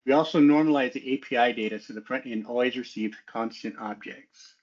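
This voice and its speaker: A man's voice: medium pitch, brisk, a little mellow, muffled recording. A man giving a methodical, explanatory technical walkthrough as a student developer, in a semi-scripted presentation style.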